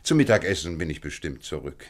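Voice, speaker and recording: An actor playing a shrewd, courteous detective in a German radio play, speaking politely and deliberately with an air of command. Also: male voice, rumbling low, sing-song. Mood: firm, commanding. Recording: faint background noise.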